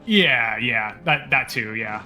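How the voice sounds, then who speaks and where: A man's voice: mid-range voice, animated, slow, sharp tone, faint music behind. A man co-commentating a live speedrun, explaining the game in a casual way.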